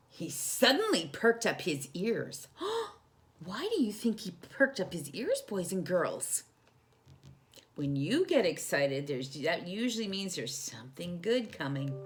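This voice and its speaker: Woman, children's storytime reader, slow pace, very varied pitch, expressive, engaging young listeners directly. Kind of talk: expressive picture-book reading with questions to children.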